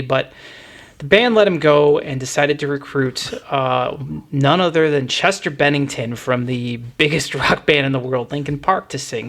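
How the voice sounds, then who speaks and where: A man's voice: a little high, fairly bright tone. A man hosting a podcast, talking through music in a casual, explanatory, conversational way. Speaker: podcast host.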